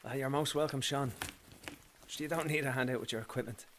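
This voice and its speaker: A grown Irish son, acted by a man in a radio play, friendly and encouraging. He chats quickly at a middling pitch. Faint noise sits on the recording. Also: steady tone, a bit bright, lightly acted. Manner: chatty, encouraging.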